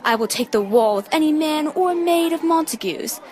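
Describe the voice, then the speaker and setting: Woman as a swaggering servant, lively high voice. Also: normal speed. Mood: boastful. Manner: swaggering banter. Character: boastful, bawdy, quarrelsome male servant. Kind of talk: amateur Shakespeare dramatic reading.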